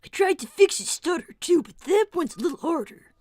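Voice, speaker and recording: A woman's voice: very high voice, animated, fairly quick, darkish tone, dry recording. A woman voicing an exasperated young fox inventor in a casual, argumentative improvised livestream roleplay. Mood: casual, explaining.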